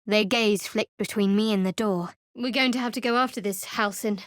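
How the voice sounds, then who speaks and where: A woman playing a guarded, wry, secretive young cleric adventurer in a fantasy video game, with a cool, measured, sardonic delivery. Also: mid-range voice, dry recording, clean studio sound, close to an everyday voice. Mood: measured, hushed.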